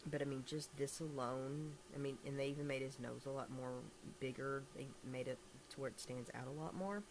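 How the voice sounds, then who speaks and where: A woman with a raspy voice from a recent illness, chatting casually and rambling enthusiastically while reviewing toys at a table at home. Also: extremely low pitch, steady tone, brisk, background noise. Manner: rambling, enthusiastic, many fillers and restarts. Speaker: toy collector vlogger. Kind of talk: casual toy review to camera. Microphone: camera filming hands and toys, speaker off screen.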